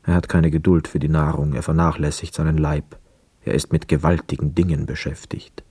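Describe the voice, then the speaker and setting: An actor playing a reflective, wary man back from war, narrating a German radio play in measured literary phrases. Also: extremely low pitch, flat delivery, mellow, breathy edge, no echo. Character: young war returnee, reflective, wary narrator of hotel life.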